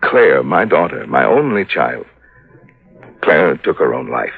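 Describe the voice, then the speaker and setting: Husky, anguished aging businessman. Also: rumbling low, big pitch swings, exaggerated voice. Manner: melodramatic, emphatic, indignant, halting for effect. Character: aging businessman, wronged father, bitter, anguished, self-important. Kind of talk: old-time radio melodrama acting. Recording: muffled vintage radio recording.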